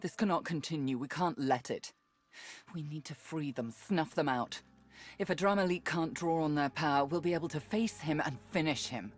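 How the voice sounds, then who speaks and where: Low female voice, grave sorceress, deliberate, commanding, fantasy game, music behind. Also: breathy edge. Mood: grave urgency. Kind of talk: video game voice acting.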